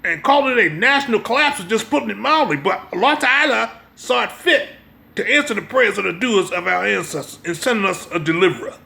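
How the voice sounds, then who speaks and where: A man's voice: high voice, expressive, brisk, gravelly, pushed and bright. An American man giving an impromptu sermon as a religious teacher, emphatic and exhortative, often checking that listeners understand. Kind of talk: impromptu sermon with brief reading.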